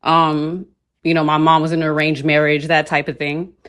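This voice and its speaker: A woman from Ethiopia joining a live panel discussion and speaking in a measured, earnest way with frequent pauses. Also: deep voice, little pitch movement, fairly bright tone. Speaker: panel participant. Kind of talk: casual live-stream discussion.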